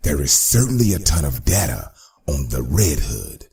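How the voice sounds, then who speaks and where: An actor playing a suave, menacing crime boss with a measured, formal, theatrical manner in a motion comic. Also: male voice, rumbling low, sing-song, creaky, a little mellow, full character voice. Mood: smug probing. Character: suave, menacing male crime boss villain, probing and polite.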